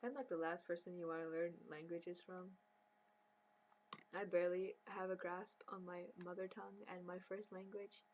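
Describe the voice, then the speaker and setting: A woman's voice: on the low side, little pitch movement, normal speed, darkish tone, a little hiss or hum. A young woman streaming as she draws, chatting casually and rambling, often laughing and now and then dropping to a whisper. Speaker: streamer drawing on request. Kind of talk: casual chat while drawing.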